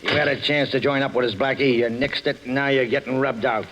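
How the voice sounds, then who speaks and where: A man's voice: mid-range voice, steady tone, quick pace, a bit bright, dull audio, close to an everyday voice. A man acting a chatty, dim gangster sidekick in 1940s radio style, talking in folksy slang. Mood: gloating. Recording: old radio recording with faint noise.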